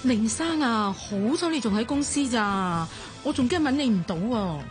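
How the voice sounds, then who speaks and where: Urgent, anxious Hong Kong Cantonese female conspirator, played by a woman for radio drama, pitched high. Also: lively intonation, moderate pace, music in the background, lightly acted. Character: anxious female accomplice of a scheming boss.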